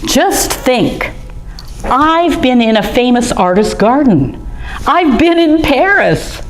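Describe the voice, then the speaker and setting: A woman's voice: high-pitched, very animated, slow. Lady reading a kids book at a museum storytime, chatty and friendly, talks right to the kids, a little faint noise behind. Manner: chatty, friendly, speaks straight to young kids. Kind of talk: reading a picture book aloud to children. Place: art museum gallery, indoors.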